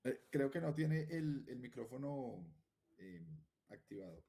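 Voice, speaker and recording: A man's voice: medium pitch, expressive, measured pace, dark tone. A man moderating a video call panel, handing over the floor with hesitant fillers.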